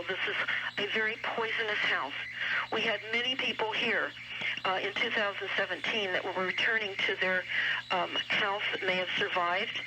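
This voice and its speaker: Insistent woman over static-laden shortwave radio. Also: mid-range voice. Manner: emphatic, insistent, deliberate. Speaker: radio show guest commentator. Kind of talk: broadcast talk, earnest explanatory monologue. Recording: noisy shortwave radio broadcast, heavy static. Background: loud radio static and noise.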